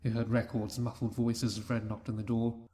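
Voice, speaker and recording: A man narrating a fan-written story in a steady reading voice. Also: mid-range voice, brisk, heavy background noise. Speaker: reader.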